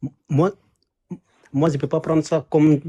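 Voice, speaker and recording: A man's voice: on the high side, fairly even intonation, a little slow, mellow, husky. Hesitant stammering male caller. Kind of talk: live call-in debate.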